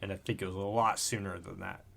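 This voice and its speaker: A man's voice: low voice, little pitch movement, quick pace, gravelly, a bit bright, a little hiss or hum. A man in his thirties co-hosting a podcast, chatting casually at home, rambling and correcting himself as he goes, with an occasional swear. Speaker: podcast co-host. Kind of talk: casual chat. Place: home room.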